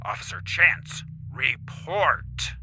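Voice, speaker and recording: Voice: medium pitch, takes it slowly, creaky, noisy background. An actor in an audio drama playing a cold, commanding authority figure who gives formal, clipped orders over a comm. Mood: cold, demanding.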